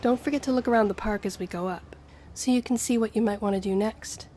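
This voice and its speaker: A woman's voice: medium pitch, fast talker, mellow, lightly acted. A woman playing a friendly, earnest young woman with a shy romantic streak in an intimate audio roleplay, speaking to the listener. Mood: cheerful, relaxed. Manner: chatty, earnest, flustered hesitations when romantic. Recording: clear background ambience noise.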